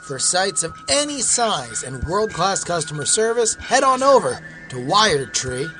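Man, sponsor announcer, high lively voice, music behind. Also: a little slow. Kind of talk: scripted sponsor read.

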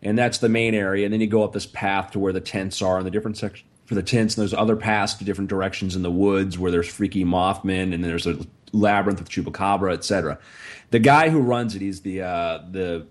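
A man with a raspy, hoarse voice, co-hosting a casual podcast chat and telling an animated story that he slows down for comic effect. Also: low-pitched. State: hoarse from screaming. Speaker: podcast co-host.

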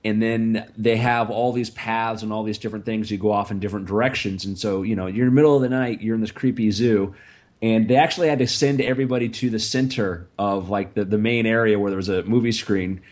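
A man with a hoarse, raspy voice tells an animated story in a relaxed podcast chat. His voice sits fairly low, and he stretches out key phrases for comic effect. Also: brisk. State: hoarse from screaming. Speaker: podcast co-host. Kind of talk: casual chat.

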